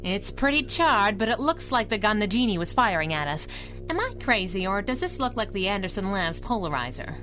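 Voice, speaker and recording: An actress playing a spunky young crime-fighting sidekick with a snappy, slangy, teasing manner in a pulp radio drama. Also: female voice, medium pitch, big pitch swings, fairly quick, a bit bright, faint music behind, poor audio. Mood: brisk. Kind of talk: 1930s-set pulp radio drama acting.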